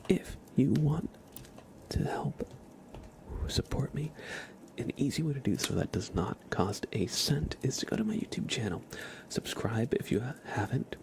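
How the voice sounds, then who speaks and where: A man hosting a casual ASMR livestream, speaking softly and informally, often slipping into a breathy whisper. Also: mid-range voice. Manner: relaxed, informal, uses y'all. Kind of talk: casual ASMR stream chat.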